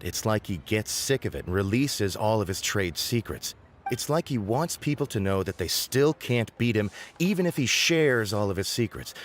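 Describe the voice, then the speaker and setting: A man's voice: fairly low pitch, a little hiss or hum. A man voicing a confident, somewhat boastful male informant in a video game, with a wry manner. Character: smug, competitive male informant. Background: game music.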